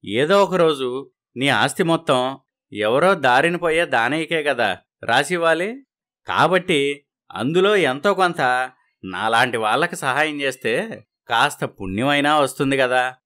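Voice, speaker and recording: A man's voice: medium pitch, animated, a little slow, pauses often, bright, forceful tone. Man acting a pushy village borrower. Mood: taunting.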